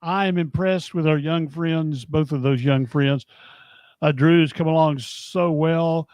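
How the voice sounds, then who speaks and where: Male co-host, a bit high-pitched, teasing and quick with asides, dry close sound. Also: fairly even intonation, a little mellow, clear, resonant tone, dry recording. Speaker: co-host and wrestling commentator. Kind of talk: casual chat.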